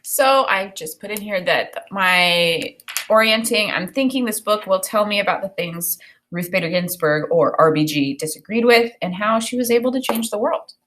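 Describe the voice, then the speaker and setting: A woman's voice: medium pitch, slight background noise. Woman teacher reading a storybook aloud. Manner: expressive, deliberate storybook phrasing with explanatory asides. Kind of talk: read-aloud of a children's book with think-aloud commentary.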